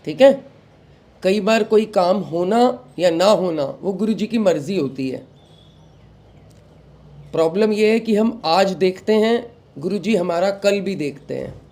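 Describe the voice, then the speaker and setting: A young man, recovering from a sore throat, hosting a devotional talk and giving calm advice to followers while mixing in English phrases. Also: sits high, unhurried, long pauses. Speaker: satsang host.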